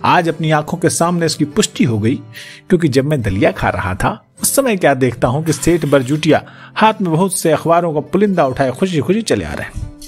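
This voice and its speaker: Expressive, slightly husky male storyteller. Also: on the high side, fairly quick, soft background music. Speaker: audiobook narrator. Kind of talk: expressive scripted story narration.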